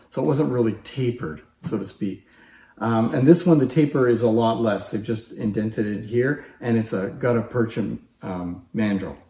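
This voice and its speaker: A man's voice: medium pitch, warm tone. A man giving an informal, unscripted demonstration as a collector, explaining things in a plain-spoken way to viewers. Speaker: antique phonograph collector and presenter. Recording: echoey, roomy sound.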